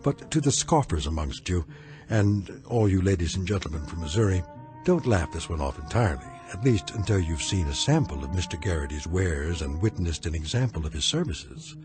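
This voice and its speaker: The knowing narrator of an eerie radio drama. His American voice is low and full of expressive swings, delivered in a wry, portentous manner with music softly behind. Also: a little fast.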